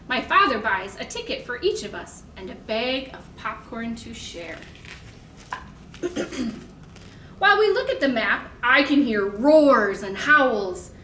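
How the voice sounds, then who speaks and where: High-voiced, slow-paced woman leading toddler storytime. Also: a little hiss or hum. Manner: animated, sing-song, encouraging, simple short phrases for toddlers. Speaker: children's librarian leading storytime. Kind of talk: toddler storytime, singing-along instruction and read-aloud. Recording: echoey room.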